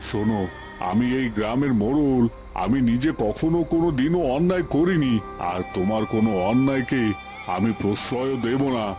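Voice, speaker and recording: A man's voice: medium pitch, expressive, normal speed, lightly acted. A man playing a stern, righteous village headman and family patriarch in a cartoon, speaking in a scolding, authoritative way. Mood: stern, demanding. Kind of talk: dramatic cartoon voice acting. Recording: music behind the voice.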